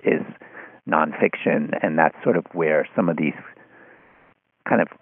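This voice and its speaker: A man being interviewed as a writer, talking thoughtfully and haltingly, repeating words as he works out his point. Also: very deep voice, no room sound. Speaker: interview guest, magazine writer. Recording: thin, phone-like line.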